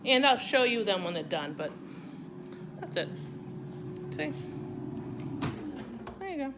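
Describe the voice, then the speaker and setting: A woman's voice: fairly high pitch, big pitch swings, slow, noisy background. A middle-aged woman with a Boston accent, chatting casually on a home cooking live stream and talking viewers through a recipe. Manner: chatty and rambling, talks to viewers directly. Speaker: cooking channel host. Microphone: live-stream device mic.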